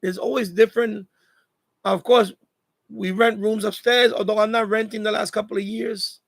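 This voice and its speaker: A man's voice: high voice, flat delivery, moderate pace, vocal fry, no room sound. A man chatting casually on a live stream, repeating phrases as he gives advice. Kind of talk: casual live chat monologue.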